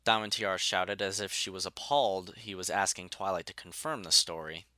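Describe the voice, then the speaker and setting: A man reads scripted story narration in a fairly low voice. His tone is bright and forceful, and the recording is dry with no room sound. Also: fairly even intonation, a little fast, no room sound.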